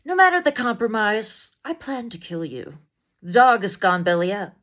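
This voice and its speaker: A woman reading poetry aloud as dramatic spoken word, in an intense, deliberate delivery of short, weighted phrases. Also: medium pitch, very animated, fairly bright tone. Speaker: poet reading poems aloud.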